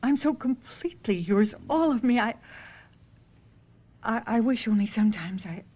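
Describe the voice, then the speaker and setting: An actress playing a devoted, wistful, possessive wife in a 1970s radio drama, speaking in hesitant, emotional phrases. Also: female voice, fairly high pitch, lively intonation, moderate pace, mellow. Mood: yearning. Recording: muffled old radio broadcast.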